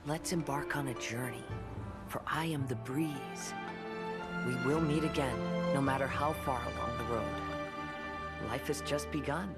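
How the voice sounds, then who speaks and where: Game role: actor as serene young male wanderer, soft and poetic, lightly gravelly, loud music behind. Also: mid-range voice, a little slow, close to an everyday voice. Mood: serene, contemplative. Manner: soft, breezy, contemplative poetic delivery. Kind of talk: scripted game voice acting.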